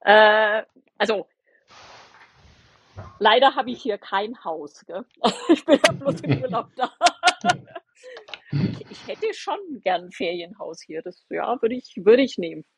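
A woman's voice: medium pitch, animated, takes it slowly, vocal fry, pauses often, slight background noise. A middle-aged woman chatting on a podcast panel from an indoor holiday room, friendly and hesitant. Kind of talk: casual remote chat.

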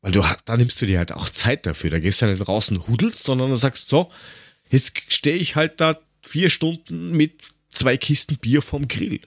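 A man's voice: medium pitch, moderate pace, a bit bright, no room sound, clean studio sound. A man co-hosting a casual podcast, talking in a colloquial, rambling, slightly grumbling way. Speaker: podcast co-host.

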